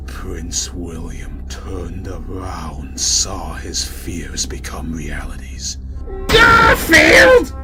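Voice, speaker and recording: A man narrating a comic story with dramatic, deliberately stilted mock-epic phrasing. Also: low-pitched.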